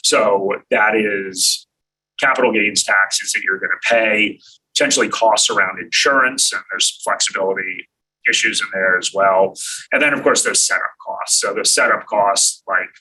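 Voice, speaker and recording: An American man hosting a casual live stream about money, with a low, very bright voice that has a husky edge. He talks in a relaxed way, thinking aloud and filling pauses with ums and uhs, and sits a little back from the mic. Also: far from the mic, rough recording. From United States. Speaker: host answering viewer questions.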